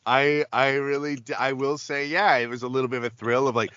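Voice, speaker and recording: A man's voice: medium pitch, steady tone, a little fast, bright, forceful tone, clean tone, muffled recording. A man, a voice actor, chatting as a podcast guest, rambling with many fillers and restarts. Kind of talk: casual podcast interview.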